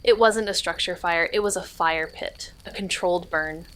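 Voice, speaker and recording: The wry woman detective telling the case in clipped, measured lines on a noir mystery podcast, played by a woman. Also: lowish voice, fairly bright tone, natural voice. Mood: brooding, wry. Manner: terse, measured, atmospheric. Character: wry, hard-boiled female amateur sleuth telling her story. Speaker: narrator. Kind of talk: noir scripted narration.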